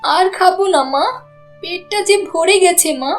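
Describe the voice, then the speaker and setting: Cartoon little daughter adult female actor: very high, childlike, frail, sweet and pleading, over music. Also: measured pace. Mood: weak, pleading. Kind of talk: cartoon voice acting. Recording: background music under the voice.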